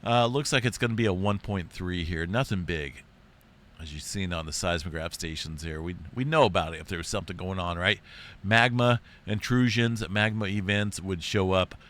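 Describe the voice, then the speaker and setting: A man hosting an earthquake update in a rambling, chatty way, his voice deep and congested from being sick. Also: normal speed, a bit bright. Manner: rambling, chatty, says "right?" and "big time" often. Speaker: earthquake and space weather channel host. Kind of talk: casual screen-share update.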